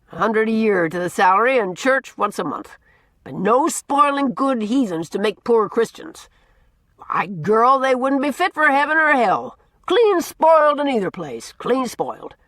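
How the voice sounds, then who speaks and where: A woman voicing a blustering, gruff old man who booms out orders and repeats himself as he teases. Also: very animated, normal speed, a bit bright, dry recording. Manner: booming, repeats himself, teasing.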